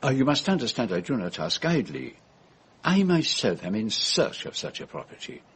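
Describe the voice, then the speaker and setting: An actor playing a courteous, shrewd foreign detective, formal and probing in a radio drama. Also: male voice, medium pitch, big pitch swings, normal speed, a bit bright, a little hiss or hum. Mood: smoothly probing. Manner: formal, elaborately polite, probing.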